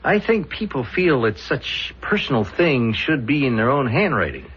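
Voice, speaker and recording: A man's voice: medium pitch, sing-song, moderate pace, a bit bright, husky, full character voice. An actor playing a chatty, eager male newspaper columnist in a 1970s radio drama, folksy and a little hesitant, on a dull old recording. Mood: eager, proud.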